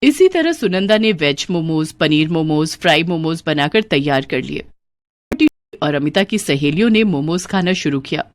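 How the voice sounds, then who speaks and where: Expressive woman narrating a story. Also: low-pitched, normal speed.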